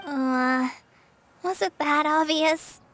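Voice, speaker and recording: A woman's voice: sits high, very slow, a bit bright, soft background music, heavily acted. A woman playing a shy, anxious, self-doubting teenage girl in a video game, hesitant and nervous. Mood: awkward.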